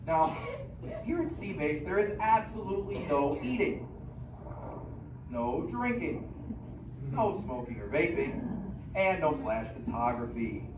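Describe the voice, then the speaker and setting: Male show host, bright instructive crowd-warmer, animated delivery, recorded far off in a roomy theater. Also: fairly high pitch, measured pace, long pauses. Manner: upbeat, instructive, audience-rousing. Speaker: theme park show host. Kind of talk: scripted show introduction to an audience. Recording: echoey theater from afar with ambient noise.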